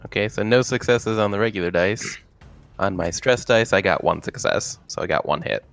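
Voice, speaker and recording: A man takes part in a tabletop roleplaying session as a player. His voice sits fairly low and he talks in a casual way about his moves and dice. Soft music runs underneath. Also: normal speed, vocal fry. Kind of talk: casual game chat, reporting dice rolls.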